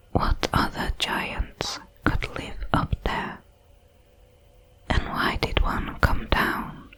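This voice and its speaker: A solemn, curious alien shard of a hive mind, played by a woman, speaks in a sci-fi ASMR audio drama. The delivery is a bright, breathy whisper, extremely slow and deliberate. It halts over its words as if its mind were new.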